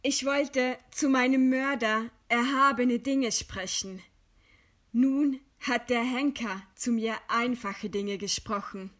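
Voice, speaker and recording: A woman acts out a condemned idealist in a radio drama, proud and resigned. The voice is fairly high and very slow. Each phrase is measured and solemn, declaimed with dignity. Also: fairly bright tone. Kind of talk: literary radio play acting.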